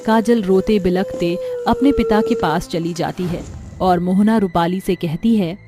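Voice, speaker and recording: Mellow female storybook narrator. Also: mid-range voice, faint music behind. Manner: measured, even storytelling.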